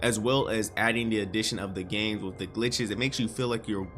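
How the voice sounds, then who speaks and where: A man's voice: lowish voice, fairly even intonation, brisk, a bit bright, soft background music. A man giving casual reaction and commentary as a video host, speaking in an informal, slangy way.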